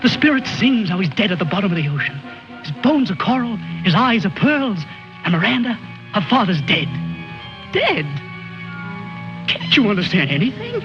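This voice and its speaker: Unhinged young soldier, clownish n pleading, high voice swinging all over, old movie. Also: male voice, wildly swooping pitch, normal speed, long pauses, heavily acted. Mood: frenzied. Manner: theatrical, erratic, pleading. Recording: muffled old film soundtrack, music behind.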